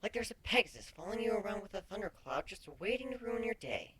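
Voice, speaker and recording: A man voicing a soft-spoken, shy female changeling with a breathy, whispery voice, affectionate and hesitant, in a story reading. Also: sits high, big pitch swings, quick pace, pitched above the performer's own voice, exaggerated voice. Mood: gently teasing.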